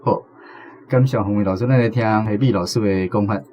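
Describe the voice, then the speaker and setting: A man's voice: lowish voice, brisk, highs cut off, slight background noise. A man with a Taiwanese accent hosting a language lesson segment on the radio. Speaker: host of a translation quiz segment.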